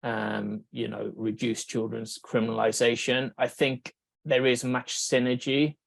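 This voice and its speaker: A Welsh man, a researcher, giving a conference talk in a measured way, thinking aloud with fillers and restarts. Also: mid-range voice, level tone, creaky, dry recording. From Wales. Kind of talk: conference presentation.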